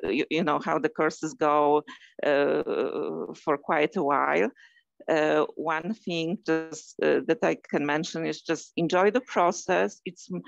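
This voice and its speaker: Polish accent woman, bit gravelly, lots of uhs, dry muffled sound. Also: low-pitched, dry recording. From Poland. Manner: hesitant, many fillers, friendly and encouraging. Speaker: learning facilitator. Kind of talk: recorded course welcome message.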